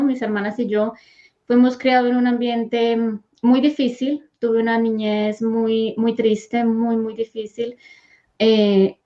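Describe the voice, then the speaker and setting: A woman speaks softly and earnestly, her delivery fairly flat and even. She tells a heartfelt personal story, filling gaps with gentle hesitations. The recording sounds dull and lo-fi. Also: a little high. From Colombia. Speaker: guest, host's wife. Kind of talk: personal faith testimony.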